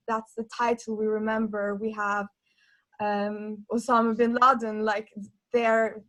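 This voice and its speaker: Earnest woman thinking aloud, fairly high. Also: poor audio. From Norway. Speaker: panel discussion participant. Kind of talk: reflective discussion.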